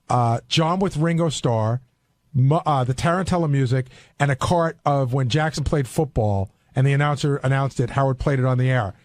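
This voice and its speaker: A man's voice: fairly high pitch, a little mellow, dry recording. A man chatting casually on a radio talk show, explaining things in a rambling way. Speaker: radio show staff member on air. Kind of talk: casual studio discussion.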